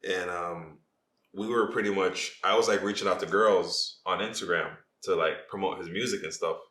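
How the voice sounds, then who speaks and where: A man's voice: low voice, steady tone, normal speed, a bit bright, echoey room. A young man from Jamaica, a podcast guest, talking casually and rambling about his start on social media. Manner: laid-back, rambling with many "like"s.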